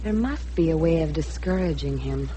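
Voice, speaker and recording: A woman's voice: deep voice, animated, moderate pace, mellow. An actress playing a poised, candid young rich woman in a radio drama, speaking directly with cool composure. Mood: concerned, supportive. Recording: noisy vintage radio drama recording.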